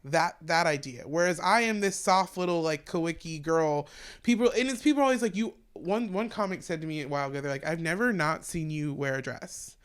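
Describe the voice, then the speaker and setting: A woman with a deep voice, a stand-up comedian talking frankly as a podcast guest in casual conversation. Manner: frank, talks fast in long run-on explanations.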